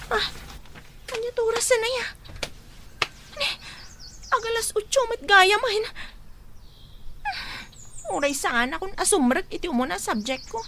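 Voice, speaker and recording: Defiant young female student, very high piercing voice, bright and sharp, Ilocano accent, radio drama over music. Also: measured pace, long pauses, slight background noise, heavily acted. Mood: whiny, evasive.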